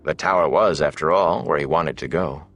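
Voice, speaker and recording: A man reading a fantasy audiobook as its narrator, in a measured, deliberate, dramatic storytelling delivery. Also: extremely low pitch, a bit bright, soft background music.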